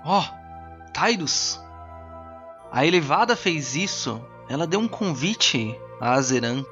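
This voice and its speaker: A man voicing a suspicious, courteous council mage with a measured, haughtily polite manner in a fantasy role-playing audio drama. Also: fairly high pitch, expressive, sharp tone, muffled recording, faint music behind, full character voice. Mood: perplexed.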